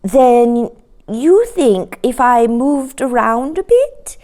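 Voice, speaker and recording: Woman voicing a high-pitched, earnest storybook cow. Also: very animated, darkish tone, exaggerated voice. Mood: eager. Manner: polite, earnest, drawn-out. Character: anxious, willing, courteous red cow, female.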